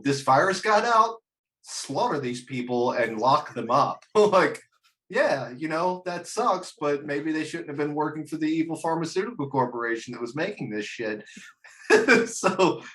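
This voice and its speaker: Excitable, sweary man with bright, animated voice. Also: fairly high pitch, normal speed. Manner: excitable, sweary, sarcastic, acts out imagined quotes. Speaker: podcast panelist. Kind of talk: casual comedic banter.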